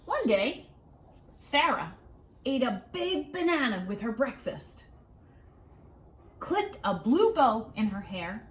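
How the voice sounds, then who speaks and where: A woman reading a children's storybook aloud as a read-aloud host, expressive and deliberate, with dramatic pauses and emphasis. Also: on the high side. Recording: roomy echo, distant microphone.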